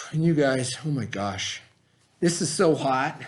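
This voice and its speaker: Animated man reading a storybook aloud. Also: medium pitch, slow pace. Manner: animated, informal asides to the listeners. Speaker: read-aloud host. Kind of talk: expressive storybook read-aloud for children.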